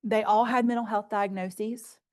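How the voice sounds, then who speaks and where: A woman's voice: mid-range voice, steady tone, a little slow, darkish tone. A woman presenting at a healthcare conference, telling patient stories and results in an earnest, informal, plain-spoken way. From rural area.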